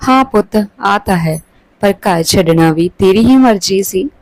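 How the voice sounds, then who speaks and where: A woman's voice: mid-range voice, expressive, moderate pace, no room sound, lightly acted, low-quality recording. A woman voicing an older mother-in-law in a story, affectionate in manner but subtly needling. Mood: gently needling. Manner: sweetly taunting, blessing.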